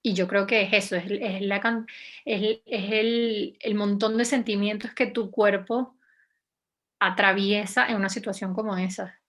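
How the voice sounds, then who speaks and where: A woman's voice: medium pitch, fairly even intonation, unhurried, fairly bright tone. A young woman journalist from Venezuela, answering interview questions in a hesitant, reflective way with many restarts. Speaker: journalist, guest.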